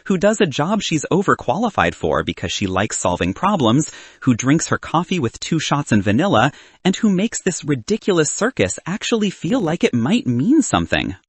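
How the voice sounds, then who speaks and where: A man acts out a cynical, lovestruck best man in a romance audiobook. He is wry and argumentative yet earnest, with a husky voice that moves around in pitch, recorded dry. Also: huge pitch swings, moderate pace, no echo, heavily acted. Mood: earnest confession. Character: cynical, awkwardly sincere young best man in love.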